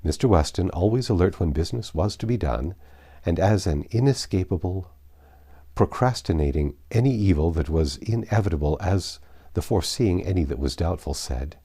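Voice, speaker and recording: Male audiobook narrator, classic novel reading, low-pitched, husky, expressive intonation. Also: normal speed.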